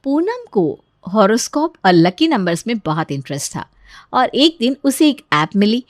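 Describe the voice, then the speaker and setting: A woman's voice: on the high side, big pitch swings, moderate pace, dry recording, studio quality. A woman narrating a scripted story.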